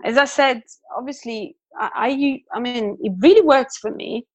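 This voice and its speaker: A woman's voice: a little high, normal speed, dull audio. A woman from Italy who speaks Italian natively, chatting as a guest about how she learns English, with frequent fillers and restarts. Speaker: guest interpreter. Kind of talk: casual chat. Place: London.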